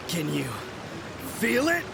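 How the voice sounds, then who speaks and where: An actor playing a possessed young male swordsman in a video game, theatrical and halting in his delivery. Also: sits high, very animated, gravelly, background noise, exaggerated voice. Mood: boastful, haughty. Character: possessed young swordsman boasting of manliness, then pleading. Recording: music behind the voice.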